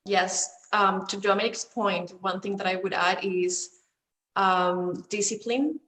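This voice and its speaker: A woman's voice: mid-range voice, fairly even intonation, slow, a bit bright, roomy sound, low-quality recording. A woman speaking as a finance panelist on a video call, giving firm advice in short emphatic statements.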